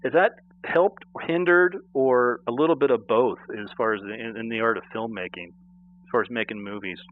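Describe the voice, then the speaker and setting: A man's voice: mid-range voice, normal speed. Male interviewer, tinny phone sound. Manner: chatty, agreeable. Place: phone call.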